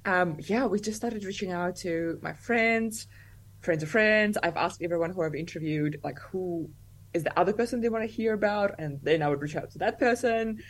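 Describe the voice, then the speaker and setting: A young woman speaks in a lowish, relaxed voice. She talks casually as an interview guest, loose and chatty, leaning on "like" and laughing easily. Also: moderate pace, low-quality recording. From Russia. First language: Russian.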